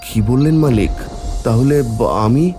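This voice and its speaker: A man's voice: medium pitch, animated, a little slow, mellow, faint music behind, lightly acted. A man playing a poor, worried village labourer and father in a fairy-tale cartoon, speaking plaintively. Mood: anxious, deferential. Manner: lamenting, plaintive.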